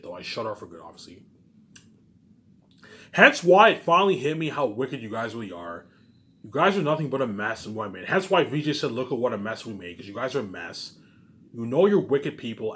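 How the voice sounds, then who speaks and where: A man's voice: fairly low pitch, sharp tone, a little hiss or hum. A man giving an informal, rambling monologue to viewers, agitated and emphatic, swearing and repeating himself, often trailing off at the ends of phrases.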